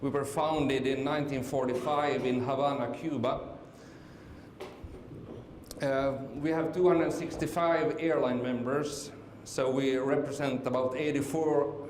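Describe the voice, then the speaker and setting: A man's voice: mid-range voice, steady tone, measured pace, darkish tone. Finnish man presenting in an echoey hall. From Finland. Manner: hesitant, many fillers and restarts. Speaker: conference speaker. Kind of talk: conference presentation. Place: conference hall. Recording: echoey hall with faint noise.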